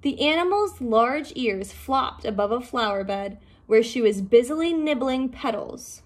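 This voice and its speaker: A woman reading a children's story aloud with measured, expressive narration. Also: on the high side.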